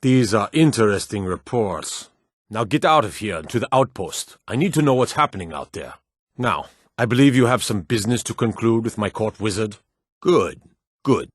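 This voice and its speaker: Proud, low-voiced warrior lord. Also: male voice, slightly rough, dry recording, clean studio sound. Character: proud, stern middle-aged Nord warrior lord. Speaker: video game voice actor. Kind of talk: scripted fantasy game dialogue.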